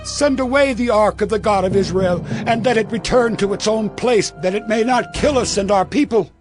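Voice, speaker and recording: A man's voice: sits high, moderate pace, music in the background, natural voice. An actor playing a frightened ancient townsman who cries out urgently in a dramatized scripture recording. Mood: panicked. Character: frightened male Philistine townsman pleading urgently.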